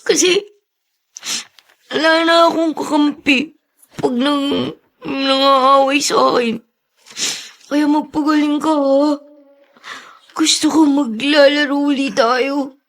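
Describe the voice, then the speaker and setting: A woman's voice: sits high, steady tone, slow pace, pauses often. A woman playing an earnest, devoted little boy in a radio drama, with a childlike, pleading manner. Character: earnest, devoted little boy comforting his sick playmate.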